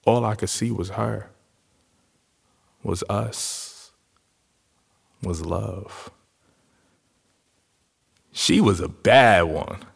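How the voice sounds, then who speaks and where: An actor playing an earnest activist man in love, delivering a poetic, deliberate dramatic monologue for a radio play. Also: fairly low pitch, gravelly, husky, full character voice. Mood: smitten. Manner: deliberate, poetic phrasing with weighty pauses. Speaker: lead actor in a stage-style audio play. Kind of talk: dramatic spoken-word monologue.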